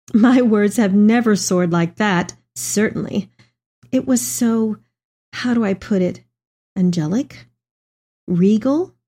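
A woman's voice: medium pitch, mellow. A woman playing an elderly, tender mother reading her letter aloud in a reflective dramatic reading.

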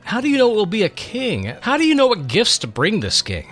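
A man's voice: high-pitched, huge pitch swings, brisk, a bit bright, soft background music, pitched above the performer's own voice, full character voice. A man playing an earnest young male servant, inquisitive and deferential, in a dramatic storybook reading. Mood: earnest, questioning.

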